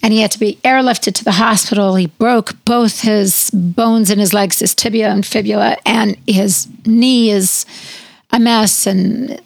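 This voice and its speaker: A middle-aged woman with a raspy, hoarse voice, co-hosting a podcast and telling a long story in a chatty way. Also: medium pitch, fairly even intonation, unhurried, dry recording. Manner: chatty storyteller, fillers like "like" and "you know". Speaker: podcast co-host. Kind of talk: casual chat.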